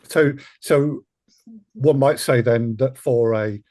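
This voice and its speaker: A man's voice: mid-range voice, takes it slowly, darkish tone, dry recording. A man hosting a conversational discussion, reflecting aloud and often tagging questions onto the ends of his sentences. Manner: reflective, adds tag questions. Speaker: podcast host.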